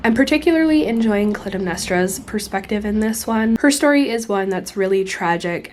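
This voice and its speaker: A woman's voice: mid-range voice, darkish tone, slight background noise. A young woman in her twenties, still congested from being sick, chatting casually about a book she's reading, recorded close on a phone. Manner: chatty, explains at length. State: congested, recovering from illness. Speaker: book vlogger. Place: indoors, lying against pillows. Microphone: phone camera held close.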